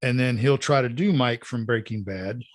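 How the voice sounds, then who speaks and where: A man's voice: mid-range voice, normal speed, no echo. A man with a Florida accent hosting a podcast, folksy and plainspoken in casual talk. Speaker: podcast host.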